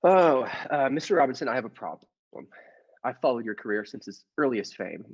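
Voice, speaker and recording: Meek, fussy little husband for a radio comedy, acted by a man. Stammering, formally polite, husky and very animated. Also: mid-range voice, brisk. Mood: nervous, hesitant. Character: timid, henpecked little husband, nervously earnest. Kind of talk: old-time radio comedy play acting. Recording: dull lo-fi audio with music behind.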